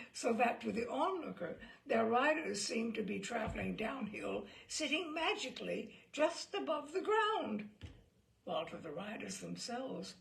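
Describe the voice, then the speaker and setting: Slow, very creaky woman storyteller with animated pitch. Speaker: storyteller reading aloud on own show. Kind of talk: expressive reading of a literary memoir.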